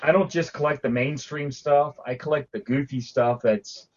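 A man's voice: medium pitch, steady tone, moderate pace, husky. Guy rambling on a collectors chat show, lots of restarts, kinda muffled lo-fi audio. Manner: rambling, frequent restarts and fillers. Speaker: podcast co-host. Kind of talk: casual panel chat about collecting.

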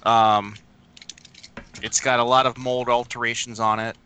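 A man's voice: mid-range voice, little pitch movement, unhurried, bright, forceful tone, a little hiss or hum. A man in casual group chat, making dry joking quips.

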